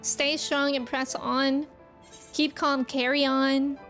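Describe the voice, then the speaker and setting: High-voiced chatty woman streaming. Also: little pitch movement, slow, fairly bright tone, faint music behind. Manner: chatty, playful. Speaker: game streamer. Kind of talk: casual livestream reactions to a game.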